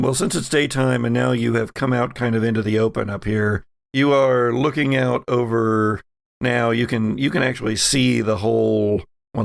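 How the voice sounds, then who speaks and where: A man narrating a tabletop horror game as game master, setting scenes in a halting, deliberate way. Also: on the low side, vocal fry, no room sound, studio quality.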